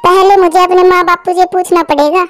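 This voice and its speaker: A woman's voice: very high-pitched, steady tone, a little fast, a bit bright, soft background music. A woman voicing a sweet, thoughtful young girl bird in a cartoon. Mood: sweet, eager.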